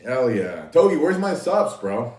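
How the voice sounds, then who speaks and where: A man's voice: mid-range voice, big pitch swings, unhurried, a little mellow. A man hosting a casual livestream, talking easily to his chat and laughing often. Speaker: livestream host. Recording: echoey room, mic at a distance.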